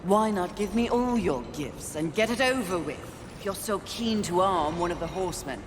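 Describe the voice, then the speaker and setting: A woman's voice: lowish voice, animated, normal speed, noisy background, natural voice. Woman voicing a fierce warrior in cavernous reverb. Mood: sarcastic. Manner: curt, scornful, commanding. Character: proud, fierce, impatient female horseman of the apocalypse. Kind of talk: video game cutscene acting. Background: music.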